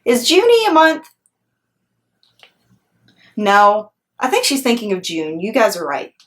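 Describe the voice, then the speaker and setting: Woman, children's read-aloud storyteller, teacherly, explanatory, speaks straight to young listeners, mid-pitched. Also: wildly swooping pitch, unhurried, a bit bright.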